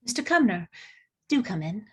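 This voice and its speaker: A woman's voice: mid-range voice, very animated, normal speed, breathy edge. A woman at a screenplay table read playing a timid, polite niece with hesitant delivery.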